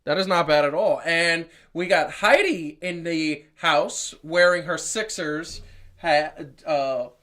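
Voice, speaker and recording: A man's voice: a little high, takes it slowly, sharp tone. A man hosting a live sports chat show in a hyped, rambling way. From born South Carolina, raised New York. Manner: hyped, rambling, self-interrupting. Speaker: host.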